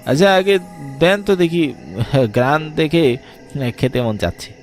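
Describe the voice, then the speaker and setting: A man voicing a bold, animated young man among friends in a cartoon ghost story. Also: on the high side, unhurried, faint music behind, pitched above the performer's own voice. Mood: eager.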